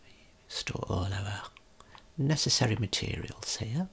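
A tour guide giving a gentle, unhurried ASMR tour in a breathy whisper, explaining things as they go. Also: medium pitch, lively intonation.

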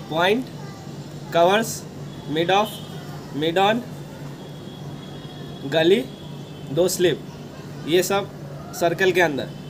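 A young man, giving instructions to camera as host of a coaching video, recorded outdoors on a rooftop. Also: on the high side. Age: young adult. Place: outdoor rooftop terrace among brick buildings. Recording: clearly noisy outdoor ambience, traffic hum.

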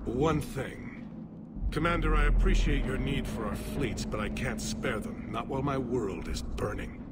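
An actor playing a proud alien general in a video game, a lifelong soldier and reluctant leader, speaking gravely in clipped military phrases. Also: male voice, medium pitch, unhurried, heavy background noise, natural voice. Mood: resolute. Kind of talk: video game cutscene acting. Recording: loud battlefield ambience behind.

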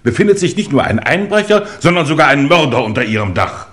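An actor in a German crime radio play, playing a methodical chief inspector with a formal, measured manner. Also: male voice, fairly high pitch, big pitch swings. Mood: firm.

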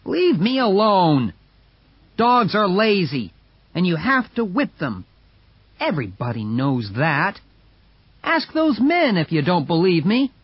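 A man's voice: a little high, big pitch swings, slow pace, hardly any pauses, dry recording, muffled recording, pitched above the performer's own voice, full character voice. A man voicing a stubborn, scornful young man of twenty in a read-aloud story. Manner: scornful, bossy.

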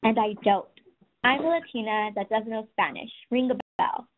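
A soft-spoken woman in her twenties giving a scripted presentation, telling stories and speaking directly to listeners. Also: on the high side, normal speed, slight background noise. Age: 20s. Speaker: webinar presenter. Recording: tinny phone-like audio.

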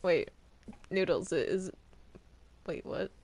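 A woman's voice: lowish voice, lively intonation, takes it slowly, vocal fry, a little mellow. A woman playing a multiplayer game, chatting casually and partly in character. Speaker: player in a Minecraft roleplay. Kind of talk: casual in-character gaming chat.

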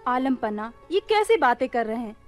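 An actress playing an obedient, flattering palace maid with deferential delivery in a radio play. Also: female voice, on the high side, moderate pace, faint music behind. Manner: courtly, deferential.

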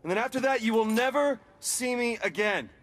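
An actor playing a cocky, wisecracking young American superpowered rebel in a video game. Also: male voice, extremely high pitch, lively intonation, a little fast, fairly bright tone, a little hiss or hum. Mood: bargaining. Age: young adult. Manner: wisecracking, sarcastic, casual. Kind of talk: video game cutscene acting. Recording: game cutscene with music behind.

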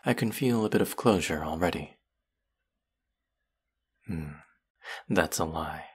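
A man playing a bitter, menacing fantasy villain in an audio roleplay, with a gravelly, husky voice and a sardonic edge. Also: low-pitched, full character voice. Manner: menacing, sardonic, slow and deliberate. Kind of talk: dramatic audio roleplay acting addressed to the listener.

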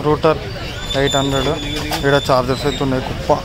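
A man's voice: medium pitch, little pitch movement, fast, over a noisy crowd. A young man, casually touring an outdoor street market on a handheld phone and naming items as he walks. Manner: rambling, lists items as he walks. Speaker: vlogger. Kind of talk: casual walking market tour. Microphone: handheld phone camera. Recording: loud outdoor traffic noise. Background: street traffic noise.

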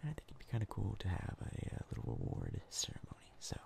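A young man whispers his way through casual gameplay commentary in an ASMR style. His voice is low and heavily crackled with fry, breathy and soft, and he rambles along hesitantly. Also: wildly swooping pitch, fast talker. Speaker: ASMR gaming YouTuber.

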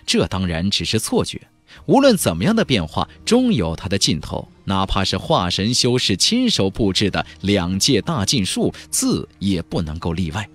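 Deep, very animated male audiobook narrator. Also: moderate pace. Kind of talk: dramatic scripted narration of a fantasy novel. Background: faint background music.

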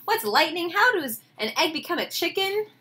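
A woman, reading a picture book aloud to children with expressive, enthusiastic, chatty asides. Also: extremely high pitch, normal speed. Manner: expressive, enthusiastic, questioning the listeners. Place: small home room, indoors.

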